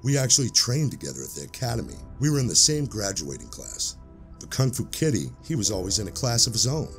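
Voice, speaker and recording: An actor playing a world-weary old police sergeant in an audio drama, with brooding, measured delivery over background music. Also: male voice, fairly low pitch, very animated. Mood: reflective. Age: older. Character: grizzled, street-hardened old police sergeant, world-weary, days from retiring. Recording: music bed under the voice.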